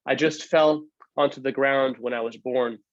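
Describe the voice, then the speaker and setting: A man's voice: medium pitch, steady tone, dry recording. Man reading aloud, dry muffled sound. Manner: measured phrase-by-phrase reading, occasional slips. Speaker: book co-editor reading aloud. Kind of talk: read-aloud oral history narrative.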